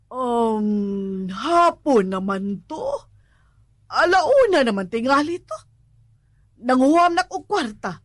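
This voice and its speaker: Woman acting slow, high-voiced Filipino mother. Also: very animated, no echo, clean studio sound, exaggerated voice. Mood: matter-of-fact. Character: unhurried mother of a working man. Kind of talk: Filipino radio drama acting.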